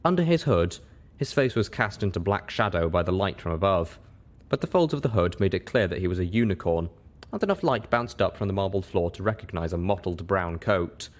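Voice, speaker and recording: A man narrates a story in a lowish voice. His delivery is scripted and very animated in pitch, suited to fiction reading. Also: brisk. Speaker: audiobook reader.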